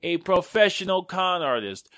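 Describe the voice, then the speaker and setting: A man's voice: high-pitched, very animated, moderate pace, fairly bright tone, no echo, clean studio sound. A man acting a playful, wisecracking fellow in a 1940s-style radio drama, with jokey, theatrical patter. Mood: playful, wry.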